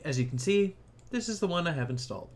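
A man's voice: a little high, lively intonation, quick pace. A man narrating a casual tech tutorial, explaining things informally step by step.

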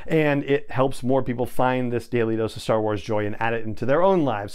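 A middle-aged man hosting a podcast, talking to his listeners in an upbeat, effusive way. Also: medium pitch. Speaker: podcast host. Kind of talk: enthusiastic podcast monologue to camera. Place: small home studio room. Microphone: lapel mic.

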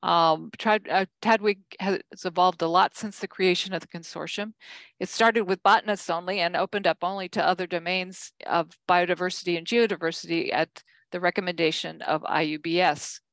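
An older woman delivers a keynote talk over a video call, walking through slides at a measured pace. Her voice sits low and has a gravelly edge, and the sound is dry and close, with no room around it. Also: steady tone, a bit bright, no room sound. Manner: measured, reads from slides with frequent ums and self-corrections. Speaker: keynote speaker. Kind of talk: conference keynote presentation.

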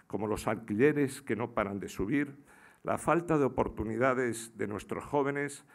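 A middle-aged man speaks into a lectern microphone in a large indoor hall, delivering a campaign speech. His voice carries a gravelly edge at a mid pitch, and he runs through statistics in a repeated listing rhythm, now and then stumbling and restarting a word. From Galicia. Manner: reads statistics in a listing cadence, occasional restarts. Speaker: political candidate. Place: large indoor hall with seated crowd behind.

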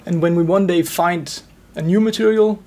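A man in his forties giving measured technical explanations as an expert in an interview. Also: high voice, fairly even intonation, mellow. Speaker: expert guest, loudspeaker driver engineer.

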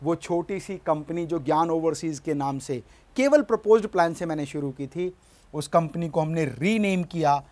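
A man's voice: fairly high pitch, moderate pace, a little mellow. A middle-aged man giving a motivational business lesson in a studio, speaking emphatically and often asking his audience to agree. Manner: emphatic, gestures at a screen, asks "है ना?". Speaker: business coach. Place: studio in front of a large screen.

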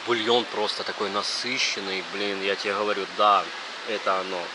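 A man in his thirties vlogging casually while he eats, talking in an informal, halting way with plenty of fillers. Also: fairly low pitch, fairly even intonation. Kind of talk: casual chat while eating. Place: large open restaurant, indoors. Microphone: action camera on the table at close range. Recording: loud restaurant ambience noise.

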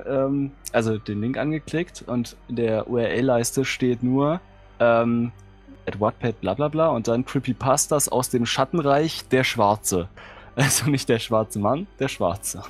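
A man hosts a live stream in loose, casual talk. His voice sits at a middling pitch, with music playing behind him. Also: measured pace.

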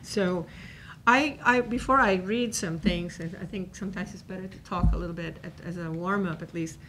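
A woman's voice: on the low side, normal speed, fairly bright tone, low-quality recording. Woman in her sixties, cuban-born, talking to a panel audience on a table mic, lots of ums, faint hum behind. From Cuba. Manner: conversational, many fillers and restarts. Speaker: professor giving a talk. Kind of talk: informal lecture on a panel. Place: university seminar room. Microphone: table microphone.

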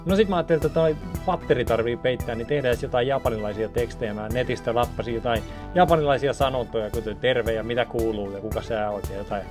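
A man in his thirties talking casually in a rambling, chatty way while he walks through building a film set in a small office studio. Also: mid-range voice. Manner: chatty, rambling, with many restarts. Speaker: video maker showing a set build. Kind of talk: casual DIY vlog talk. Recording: background music under the voice. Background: music playing under the talk.

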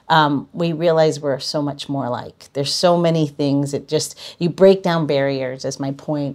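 Low-pitched woman hosting a podcast. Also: darkish tone. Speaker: podcast host. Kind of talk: casual interview chat.

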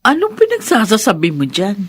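A woman's voice: mid-range voice, huge pitch swings, fairly quick, slightly rough. A woman playing an emotional, conciliatory middle-aged mother in a Filipino radio drama, earnest and pleading, with a Filipino accent. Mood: indignant.

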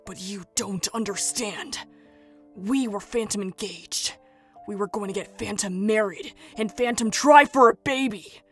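A woman's voice: mid-range voice, measured pace, soft background music, close to an everyday voice. A woman playing a brooding, heartbroken, melodramatic youth in a comedic roleplay skit. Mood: gloomy, heartbroken.

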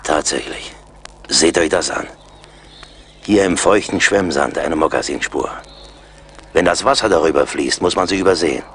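A man's voice: a bass voice, moderate pace, pauses often, a bit bright, slight background noise. A man acting a seasoned frontier scout in a German radio play, assured and wry. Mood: alert.

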